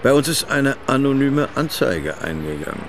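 A man's voice: mid-range voice, sing-song, moderate pace, very noisy. A man playing a guarded, persistent investigator in a radio drama, with curt official questioning. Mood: guarded, pressing. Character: guarded, persistent male investigator pressing for answers. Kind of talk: German radio drama acting.

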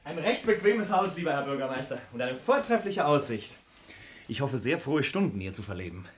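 An actor playing a courteous, bewildered gentleman in a theatrical radio comedy, politely incredulous and protesting. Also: mid-range voice, sing-song, fairly quick, natural voice. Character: courteous, bewildered gentleman stranger mistaken for royalty. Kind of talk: theatrical radio drama acting. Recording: roomy, echoing, faint background noise.

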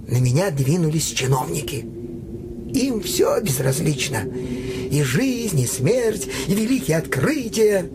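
An actor playing a cowed, world-weary doctor in a theatrical radio play, urging others to give up in an agitated, storytelling way. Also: male voice, a little high, background noise.

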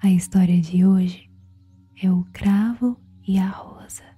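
A woman with a Brazilian accent whispers in a soothing ASMR style as she guides relaxation and tells a bedtime story, with long pauses. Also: medium pitch, steady tone, mellow, dry recording. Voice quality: breathy, whispery. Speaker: guided meditation host and storyteller. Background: background music.

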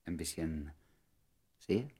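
Radio play lover, man: extremely low, very raspy, ardent and devoted, slipping into breathy murmurs. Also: expressive, slow pace, dark tone, exaggerated voice. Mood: earnest, tender. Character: ardent devoted man wooing an old love. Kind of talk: intimate German radio drama acting.

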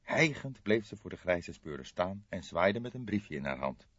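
A man with a low voice narrates crime fiction dramatically. His pitch moves in a lively way, and the recording is very dry and slightly dull. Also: moderate pace, husky, no room sound. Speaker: audiobook narrator.

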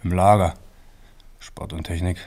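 An actor playing an awkward, deadpan young man in a radio drama, talking in terse, matter-of-fact fragments. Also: low voice, unhurried, slightly rough, full character voice. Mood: deadpan, resigned. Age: young adult. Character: awkward, laconic young man, student hopeful, unlucky and deadpan. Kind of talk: naturalistic radio drama acting.

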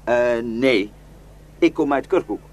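An actor in a radio drama playing a polite, matter-of-fact traveller stranded on the road. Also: male voice, mid-range voice, takes it slowly, darkish tone, a little hiss or hum, natural voice. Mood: polite, friendly.

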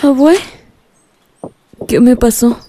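An actress playing a polite, fragile young granddaughter in a radio drama. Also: female voice, on the high side, slow, warm tone, a little hiss or hum. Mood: weak, reassuring.

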